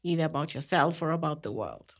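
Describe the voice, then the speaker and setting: A woman psychologist speaking thoughtfully on a podcast, with many pauses and fillers. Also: deep voice, lively intonation. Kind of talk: casual podcast conversation.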